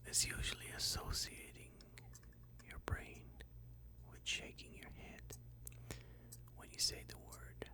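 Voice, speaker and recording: Asmr hypnosis host whispering super slowly, breathy n crackly, soothing, one phrase at a time.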